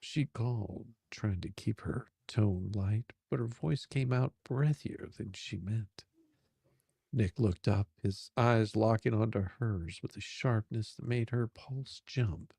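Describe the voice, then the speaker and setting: A man's voice: fairly low pitch, slow pace, creaky, mellow, husky, no echo. A man narrating a steamy romance story as a dramatic reading.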